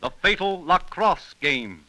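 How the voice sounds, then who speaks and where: A man's voice: high-pitched, animated, slow. A man narrating a 1930s radio program in a solemn, theatrical storytelling style. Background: music.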